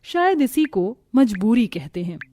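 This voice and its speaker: A woman reading scripted narration for an animated moral story. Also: fairly high pitch, unhurried, slight background noise.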